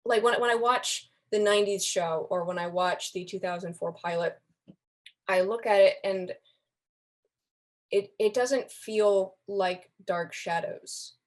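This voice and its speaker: A woman talking casually as a guest in a relaxed group conversation. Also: mid-range voice, measured pace. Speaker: podcast guest, fan community member.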